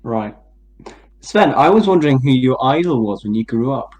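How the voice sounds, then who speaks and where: A man's voice: medium pitch, lively intonation, moderate pace, darkish tone. A man co-hosting a comedy podcast, asking absurd questions in a dry, fake-serious, hesitant way. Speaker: podcast co-host. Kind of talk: deadpan mock interview.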